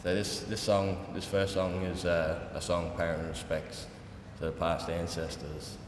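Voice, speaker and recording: A young man from South Australia with an Australian accent, giving an informal, unscripted welcome speech in a relaxed, colloquial way. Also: sits low, a little slow. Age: young adult. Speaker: dance group leader and language teacher giving a welcome. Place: large reverberant hall at a conference. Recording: echoey hall with long reverb, background noise.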